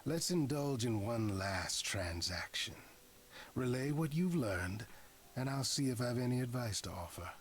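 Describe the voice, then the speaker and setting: A man's voice: medium pitch, lively intonation, unhurried, slight background noise, lightly acted. Man playing a sly, swooping trickster god. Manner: theatrical, silky, teasing, eloquent. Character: Pan, sly playful mischievous male trickster god.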